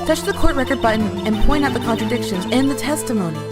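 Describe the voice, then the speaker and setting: Game fan dub mentor female actor: assured lawyer, slightly high voice, instructive and encouraging, music underneath. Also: expressive, quick pace, close to an everyday voice. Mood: coaching. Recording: music over the voice.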